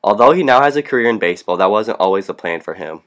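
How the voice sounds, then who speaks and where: A teenage student reporter reading a scripted news segment. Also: male voice, lowish voice, animated, fast, fairly bright tone. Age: teens. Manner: reads from a script.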